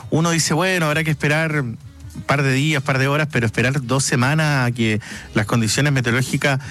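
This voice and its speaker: A man's voice: mid-range voice, steady tone, brisk, fairly bright tone, soft background music. A man with a Chilean accent hosting a late-night radio interview, asking long, chatty questions full of fillers.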